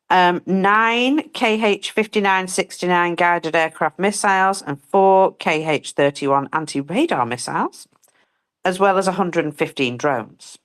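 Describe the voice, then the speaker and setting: A woman co-hosting a casual live stream, reading out daily figures with blunt, sweary asides while she loses her voice. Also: mid-range voice. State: losing her voice.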